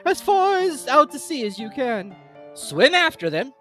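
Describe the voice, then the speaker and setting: A reader voicing an excitable, bossy Gryphon from a storybook, with emphatic, exclaiming delivery. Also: high-pitched, unhurried, faint music behind, voice pushed up, full character voice. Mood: excited, bossy. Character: excitable, bossy Gryphon creature, brusque and loud.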